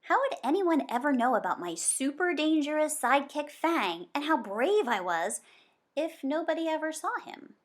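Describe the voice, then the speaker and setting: Woman voicing an anxious, boastful schoolboy, animated pitch. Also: normal speed. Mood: anxious, dramatic. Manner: dramatic, emphatic delivery. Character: Sam Wu, anxious boastful schoolboy narrator, easily embarrassed.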